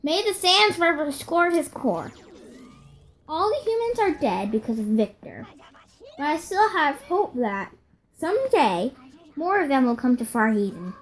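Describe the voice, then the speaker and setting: A woman's voice: high-pitched, sing-song, a little slow, slight background noise, rough recording. A woman voicing an earnest female rebel robot, solemnly reading dramatic logs of an uprising. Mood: solemn, defiant. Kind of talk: scripted dramatic log narration. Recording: music behind the voice.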